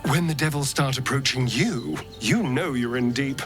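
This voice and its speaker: An actor playing a wry, flamboyant vampire elf rogue in a video game, drawling and theatrical, anxious yet scheming, with music behind him. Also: male voice, medium pitch, moderate pace, natural voice. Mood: wry unease. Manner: theatrical, drawling, hesitant asides. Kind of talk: video game character acting.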